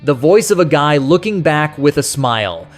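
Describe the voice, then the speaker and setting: A man's voice: fairly high pitch, sing-song, normal speed. A man narrating a scripted music countdown as its host, in a nostalgic, colloquial storytelling manner. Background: soft rock music behind the voice.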